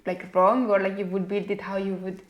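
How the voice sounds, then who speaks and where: A woman's voice: mid-range voice, level tone, brisk, highs cut off. A young woman from Italy with an Italian accent, chatting casually as a podcast guest. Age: young adult. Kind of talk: casual chat. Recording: roomy, echoey sound.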